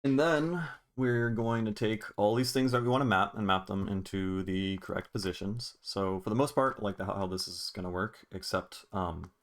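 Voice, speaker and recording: Low-voiced guy giving an offhand tutorial. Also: moderate pace. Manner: offhand, informal, swears casually. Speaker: music production tutorial host. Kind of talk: casual screen-recorded software tutorial.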